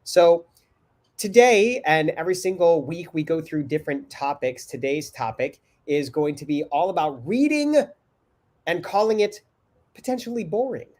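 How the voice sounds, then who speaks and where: A man's voice: fairly high pitch, normal speed. Male host, middle-aged, congested, upbeat webcam chat. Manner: upbeat, energetic, many fillers. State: congested, a little stuffy. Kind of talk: casual live video chat. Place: home room indoors. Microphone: video call webcam.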